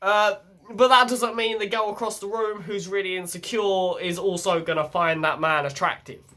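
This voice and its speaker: A young man with a blocked, croaky voice from a head cold, talking bluntly and chattily as a self-help vlog host. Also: sits high, steady tone, moderate pace, sharp tone. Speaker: channel host.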